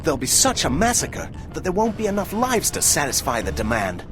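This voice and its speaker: An actor playing an eager, naive young robot hero in a video game, animated and inquisitive. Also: male voice, on the high side, a little fast. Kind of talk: video game cutscene acting. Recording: music behind the voice.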